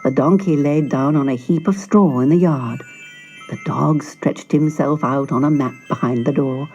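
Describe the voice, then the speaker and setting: A woman narrating a children's fairy tale in an expressive, dramatic storytelling manner. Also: low-pitched, moderate pace, dull audio. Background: music.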